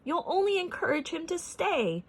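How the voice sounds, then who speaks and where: A woman's voice: very high voice, sing-song, normal speed, voice pushed up, exaggerated voice. A woman voicing a fussy, frazzled female shop owner in a storybook, scolding and exclaiming in a screechy way. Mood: indignant.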